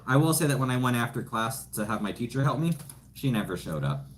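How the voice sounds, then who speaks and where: A man's voice: medium pitch, expressive, quick pace. A young man joking and teasing in casual chat on a home livestream. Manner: joking, self-deprecating. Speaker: livestream co-host.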